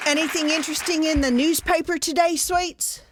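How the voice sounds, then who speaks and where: An actress playing a practical, gently needling wife in old-time radio comedy style. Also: female voice, high voice, fairly even intonation, moderate pace, a loud crowd, heavy background noise, natural voice. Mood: playful. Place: recorded at home, dry and close.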